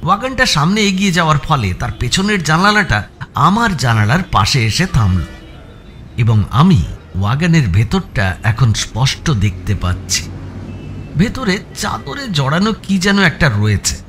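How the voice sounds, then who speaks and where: Male audiobook narrator, West Bengal Bengali accent, slightly high pitch, very dramatic intonation, deliberate pauses, suspense storytelling. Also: very animated, a bit bright, a little hiss or hum. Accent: Bengali from West Bengal, India. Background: music.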